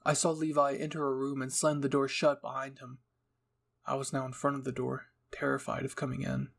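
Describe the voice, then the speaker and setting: A man narrates a scripted story in a dramatic reading style. His voice sits at a middle pitch with a noticeable creak. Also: monotone, fairly quick. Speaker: narrator, fan fiction reader.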